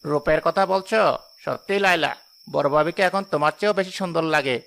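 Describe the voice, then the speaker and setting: A man's voice: on the high side, fairly quick, vocal fry, a bit bright. A man playing a husband who needles his jealous wife in a cartoon fairy tale. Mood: matter-of-fact. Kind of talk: animated fairy-tale voice acting.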